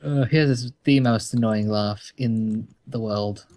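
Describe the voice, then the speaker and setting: A man's voice: lowish voice, takes it slowly, a little mellow. A young man in his late teens, a guest on a casual podcast, laid-back and making dry jokes. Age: young adult. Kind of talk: casual chat.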